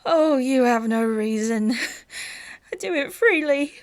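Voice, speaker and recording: A woman playing a proud, sharp-witted young noblewoman in a Shakespearean radio play, halting and emotional, guardedly in love. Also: sits high, expressive, no room sound. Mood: wounded. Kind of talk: Shakespearean radio drama acting.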